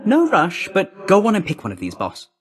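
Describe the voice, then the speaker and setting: An actor playing a brisk, cheeky young messenger god in a video game, with a breezy, slangy, chummy, clipped delivery. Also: male voice, high voice, wildly swooping pitch. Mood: breezy, hurried.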